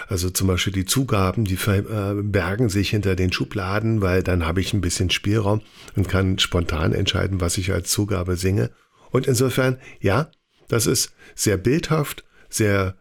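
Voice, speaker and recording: A man's voice: fairly low pitch, vocal fry. A man, a singer-songwriter, answering interview questions in a modest, reflective storytelling manner.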